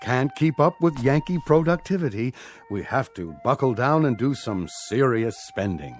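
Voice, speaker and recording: A man plays an upbeat salesman in a radio drama, all breezy patter as he pushes expensive goods. His voice is mid-pitched and swings up and down a lot, with light music under it. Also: expressive, normal speed, close to an everyday voice. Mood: salesy. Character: pushy upbeat male salesman.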